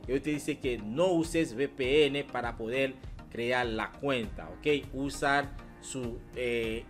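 A man's voice: mid-range voice, slow. Man chatting over faint music. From Haiti. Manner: repetitive, addresses viewers as "señores", many fillers. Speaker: channel host. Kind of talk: screen-share tutorial, talking to viewers.

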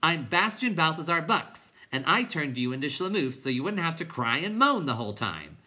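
A narrator voicing an earnest, exasperated young boy in a storybook, shouting insistently with indignant pleading. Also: mid-range voice, big pitch swings, normal speed, pitched above the performer's own voice.

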